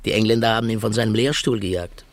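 A man's voice: fairly low pitch, steady tone, quick pace, no echo, natural voice. An actor playing a sceptical, learned churchman in a radio drama, talking in a dry, musing way. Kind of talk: classic German radio drama dialogue.

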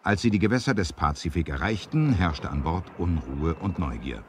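A man's voice: low voice, expressive, moderate pace, a little hiss or hum. A man narrating an adventure audio drama in a theatrical, emphatic storytelling manner, as on a 1970s studio record.

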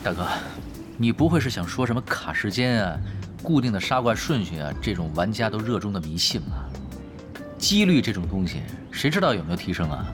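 An actor playing a cool, sardonic younger pro gamer in a Chinese audio drama, with a dry, teasing manner. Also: male voice, on the low side, big pitch swings, a little fast, over music. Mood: skeptical, teasing.

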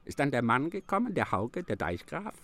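An actor playing a rustic wandering village fiddler, sly and bitter, talking in clipped, folksy, mocking phrases in a radio drama. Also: male voice, medium pitch, big pitch swings, moderate pace, bright, forceful tone. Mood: bitter, indignant. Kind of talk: German radio drama acting.

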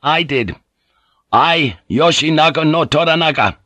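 A man's voice: mid-range voice, steady tone, fairly quick, bright, forceful tone, no room sound, voice pushed up, close to an everyday voice. A man voicing a proud young samurai bent on honor, formal and defiant, in an audiobook. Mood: proud resolve. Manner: formal, defiant, clipped politeness. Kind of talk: audiobook character voice.

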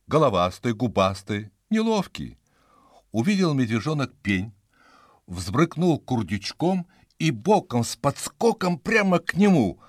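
A man reading a children's animal story in a dramatic, playful storytelling manner, with shifting emphasis and pauses. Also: mid-range voice, no echo, clean studio sound. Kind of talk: expressive scripted storytelling for children. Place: studio.

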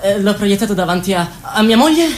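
A woman's voice: mid-range voice, lively intonation, a little fast, natural voice. A woman acting a timid, anxious government minister in a stage drama, speaking in hesitant, stammering bursts. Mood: flustered confession. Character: anxious, timid party minister, a married father of four. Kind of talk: stage monologue and dialogue. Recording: roomy echo with noticeable faint noise.

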